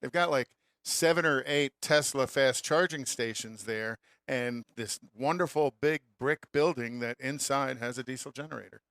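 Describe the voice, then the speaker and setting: A man co-hosting an automotive show in casual, folksy chat, sometimes halting as he reads out listings. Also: medium pitch, a bit bright, no room sound, clean studio sound. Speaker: co-host of an automotive radio show.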